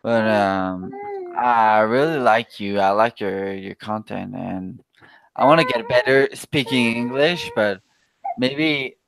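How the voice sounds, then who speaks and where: A man from Quebec whose first language is French, speaking English with a Québécois accent in a casual voice chat, halting and searching for words, laughing often. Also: fairly low pitch, gravelly, a bit bright, poor audio. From Quebec, Canada.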